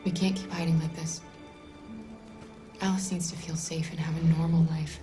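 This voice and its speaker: A young woman voicing a gentle, protective android runaway in a video game, soft-spoken and earnest. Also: sits low, little pitch movement, moderate pace, music in the background, close to an everyday voice. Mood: pleading. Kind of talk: naturalistic cinematic game acting.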